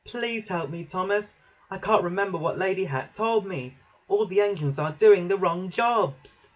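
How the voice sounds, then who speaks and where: Man putting on an eager, high-pitched little storybook engine. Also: lively intonation, unhurried, husky, full character voice. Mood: worried pleading. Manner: bossy, proud, earnest. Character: Percy, eager, self-important little male steam engine. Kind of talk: children's storybook character voice.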